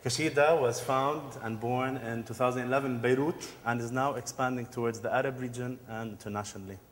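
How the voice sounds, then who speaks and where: A man's voice: mid-range voice, steady tone, brisk. A man from Dubai, co-founder of a design studio, giving an earnest conference presentation with occasional fillers. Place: large conference hall. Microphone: handheld or podium microphone. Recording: long hall reverb.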